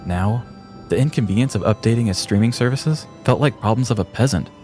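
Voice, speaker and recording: A man narrating a scripted story as a podcast host, in a measured, dramatic manner. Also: medium pitch, a little mellow. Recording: music behind the voice. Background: background music.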